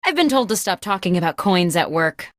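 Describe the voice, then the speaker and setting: A woman's voice: lowish voice, a little fast, no echo, clean studio sound. A woman playing a peppy, quirky office boss who hosts a comedic video game with mock-corporate patter. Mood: comic, opinionated. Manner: animated, mock-corporate comic delivery. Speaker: video game host character. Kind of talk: scripted comedic game show hosting.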